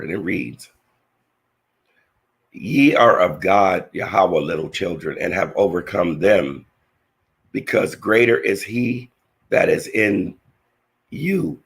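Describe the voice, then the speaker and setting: A man with a hoarse, nearly lost voice, slowly reading Bible verses and teaching a scripture lesson with halting restarts. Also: low voice. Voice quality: raspy, gravelly.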